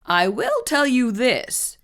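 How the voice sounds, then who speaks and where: A woman voicing a calm, confident dad with a secret in a children's picture book, speaking in a deliberate, knowing way. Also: a little high, exaggerated voice. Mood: secretive, sure.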